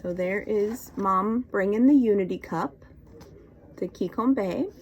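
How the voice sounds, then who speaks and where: A woman reading picture books aloud to young children in an expressive, sing-song, encouraging way, often putting questions to her listeners. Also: mid-range voice, slow, slightly rough, lo-fi sound. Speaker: children's storytime reader and teacher.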